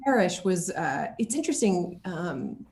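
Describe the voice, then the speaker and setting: An American woman in a casual interview chat, animated and giggly, often acting out her own reactions. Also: lowish voice, unhurried. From Seattle, Washington, USA. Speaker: interview guest, actor. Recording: roomy, echoey sound.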